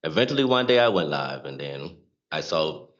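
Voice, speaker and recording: Laid back gullah geechee guy, real low voice, kinda muffled audio. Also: very animated, fairly bright tone. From South Carolina. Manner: laid-back, halting with restarts. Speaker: guest streamer and cook. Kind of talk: casual interview chat.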